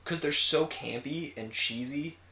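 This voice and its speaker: A man's voice: a little high, unhurried, crowd noise. Man chatting casually in an echoey room. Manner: casual, frequently swearing. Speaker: co-host of a film review show. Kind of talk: casual opinionated group chat. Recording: roomy echo with faint noise.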